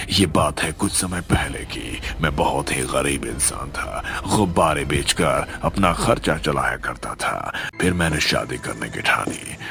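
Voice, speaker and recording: A man voicing a vengeful male ghost in a horror cartoon story, bitter and menacing, with a deep voice. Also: vocal fry, exaggerated voice. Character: vengeful male ghost of a poor jilted balloon seller. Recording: music under the voice.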